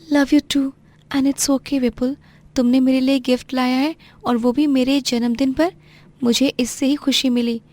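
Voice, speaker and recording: Earnest high-voiced young rich daughter, a woman acting. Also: flat delivery, measured pace, a little mellow. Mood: affectionate. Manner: earnest, mixes English words into Hindi. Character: loving, outspoken young rich businessman's daughter Riya. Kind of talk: animated moral-story dramatisation.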